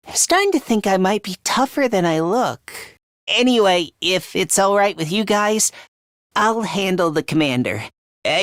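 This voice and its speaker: Anxious, self-loathing teen boy for a video game; hesitant, apologetic, slow, dry close sound. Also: sits high, fairly bright tone, no echo, exaggerated voice. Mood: timid resolve. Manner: stammering, hesitant, over-apologetic. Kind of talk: English video game voice acting.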